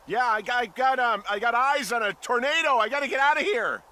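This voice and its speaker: An actor voicing an easygoing American fire lookout in a video game, conversational, joking and deadpan. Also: male voice, extremely high pitch, brisk. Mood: mock panic. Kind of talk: naturalistic video game dialogue. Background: faint background music.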